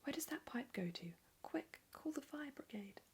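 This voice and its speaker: A woman's voice: high-pitched, lively intonation, moderate pace, pitched above the performer's own voice. A woman in a whispered storybook reading, voicing a frantic, scolding mother.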